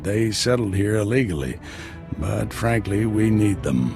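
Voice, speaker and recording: A seasoned Jedi master from a video game. His voice is low with an animated melody, yet he stays calm, frank and measured as he mentors. Music plays clearly behind him.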